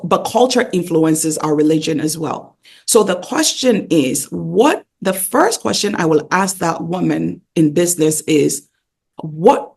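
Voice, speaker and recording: A woman's voice: low-pitched, creaky, darkish tone, slightly rough. A woman, speaking as an interview guest in an emphatic, conversational teaching style, repeating key phrases and asking rhetorical questions. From Ghana. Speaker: interview guest, pastor and wellness coach.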